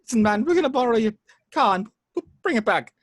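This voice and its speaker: A woman's voice: a little high, expressive, fast, husky, dry recording, clean studio sound. A woman reading the part of an anxious, well-meaning young slacker man in an amateur film script table read. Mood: nervous, placating.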